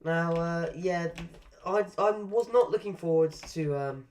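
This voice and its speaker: A man's voice: fairly high pitch, unhurried, a little mellow, a little hiss or hum. A man chatting casually while live-streaming a game, rambling through asides and swearing at the game now and then. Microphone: desk microphone.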